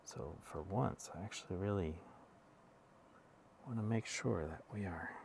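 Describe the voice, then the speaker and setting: A man hosting an electronics repair tutorial, chatting casually and thinking aloud as he works, with a hoarse voice he keeps clearing. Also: sits low, a little slow, darkish tone, a little hiss or hum. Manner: chatty, self-deprecating, thinking aloud while soldering. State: losing his voice, clearing throat.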